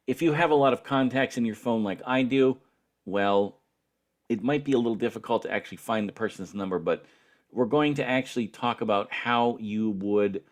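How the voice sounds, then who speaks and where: Guy with a lowish voice doing a tutorial, walks thru each step carefully, instructor type. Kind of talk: spoken tutorial, explaining step by step.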